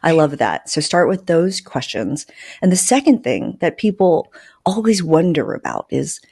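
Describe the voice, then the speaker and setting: A woman's voice: low voice, big pitch swings, normal speed, creaky, darkish tone, breathy edge. A middle-aged woman hosting a podcast in an enthusiastic, informal way, speaking close to a desk mic. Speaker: podcast host. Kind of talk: casual interview and podcast intro. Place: indoor room at home. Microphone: close desk microphone with fluffy windscreen.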